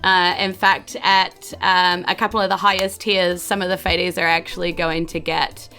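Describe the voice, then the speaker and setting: Creaky-voiced New Zealand woman hosting, music behind. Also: on the low side, level tone, moderate pace, sharp tone. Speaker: dungeon master and host. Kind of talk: casual podcast outro chat.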